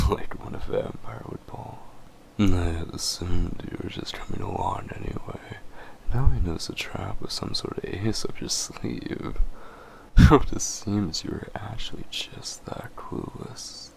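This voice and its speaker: Smug male vampire for audio roleplay, played by a man: very deep, unhurried, taunting and possessive. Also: darkish tone, slight background noise. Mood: gloating, teasing. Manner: slow, taunting, chuckling, often dropping to breathy murmurs. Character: smug, teasing, possessive male vampire toying with his prey. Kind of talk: scripted roleplay audio drama.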